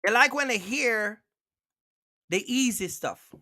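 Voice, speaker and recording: Male livestream host, emphatic and repetitive, bright voice, dry close sound. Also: sits high, expressive, slow, dry recording. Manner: emphatic, repetitive, keeps asking viewers for likes. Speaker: trucking channel host. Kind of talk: casual livestream rant.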